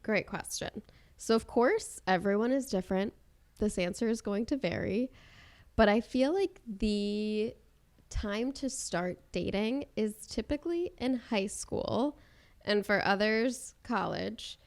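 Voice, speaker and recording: A woman's voice: mid-range voice, a little slow. Upbeat woman podcast co-host. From Chicago. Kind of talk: casual chat.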